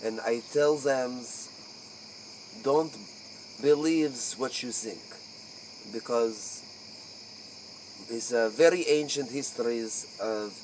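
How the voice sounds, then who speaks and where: A man playing an aloof, ancient aristocratic vampire count in a spoof interview, with an Eastern European accent and halting, pompous broken English. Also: medium pitch, a little mellow, a little hiss or hum, natural voice, rough recording. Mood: solemn. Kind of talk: improvised spoof interview in character.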